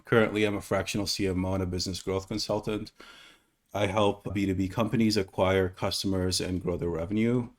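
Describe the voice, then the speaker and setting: A man from the United States hosts an interview over a remote call. His voice sits a little low, and he speaks in a brisk, polite, businesslike way. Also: fairly even intonation. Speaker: host, interviewer. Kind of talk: interview hosting.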